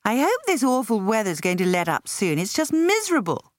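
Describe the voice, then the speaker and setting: Brisk, evasive woman in her thirties played by a female narrator, raised pitch, emphatic, dry audiobook sound. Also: big pitch swings, no echo. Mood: grumbling, brisk.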